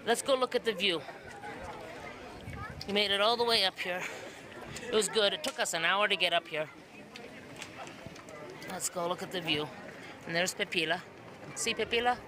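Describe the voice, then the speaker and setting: A woman's voice: medium pitch, normal speed, long pauses, crowd noise, noisy background. A middle-aged woman, chatting casually and emphatically to a camera held at arm's length, often repeating words for effect. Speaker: vlogger. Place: mostly outdoors in hilly town streets, partly indoors. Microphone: phone or camera at arm's length.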